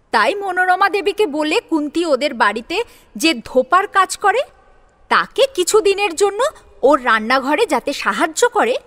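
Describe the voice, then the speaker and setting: A woman's voice: sits high, a bit bright. A woman narrating a scripted dramatic story as co-presenter.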